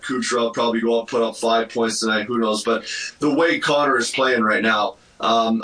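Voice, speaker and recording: A man's voice: mid-range voice, pushed and bright. A man giving conversational sports commentary, chatty and informal, circling back to make his point. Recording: roomy, distant from the microphone.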